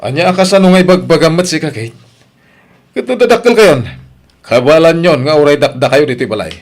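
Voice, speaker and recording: A man's voice: fairly high pitch, lively intonation, fairly quick. A man acting a brusque, defiant father in an Ilocano audio drama, with an Ilocano accent. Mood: irritated. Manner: brusque, blustering. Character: brusque, defiant philandering father leaving his family.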